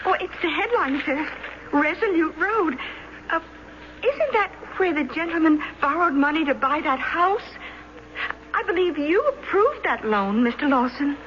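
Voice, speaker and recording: Very high-voiced eager secretary. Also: female voice, animated, a little slow. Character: deferential, eager office secretary. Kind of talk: old-time radio drama acting. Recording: noisy, dull old radio broadcast.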